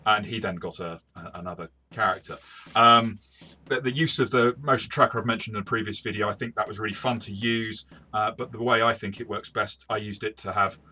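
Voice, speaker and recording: A man's voice: fairly low pitch, fairly even intonation, fairly quick, bright, forceful tone. A man chatting through a tabletop game review as its game master, hesitant and often repeating words as he goes. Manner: hesitant, stop-start delivery with repeated words.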